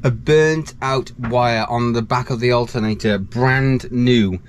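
A man in his thirties, a sailing vlogger, talking to a handheld camera in a small boat cabin and calmly explaining an electrical repair step by step. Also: medium pitch, unhurried. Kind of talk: casual vlog narration to camera. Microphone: action camera held close. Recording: faint background noise.

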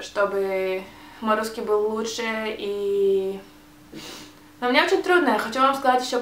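A woman's voice: on the high side, echoey room, a little hiss or hum. A young woman with a Hebrew accent, speaking Russian as a second language in a casual tag video, often hesitating and restarting words. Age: young adult. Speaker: vlogger. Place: small bright room, indoors. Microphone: camera mic, seated facing camera.

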